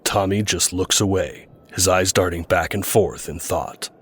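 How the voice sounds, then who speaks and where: A man's voice: sits low, steady tone, measured pace, breathy edge. A man reading scripted narration as the narrator of an audio drama. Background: music.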